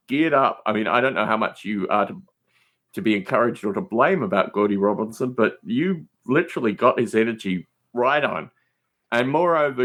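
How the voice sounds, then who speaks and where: Animated man in his forties chatting. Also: lowish voice. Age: 40s. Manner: emphatic, repeats phrases for emphasis. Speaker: podcast guest. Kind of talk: casual podcast chat.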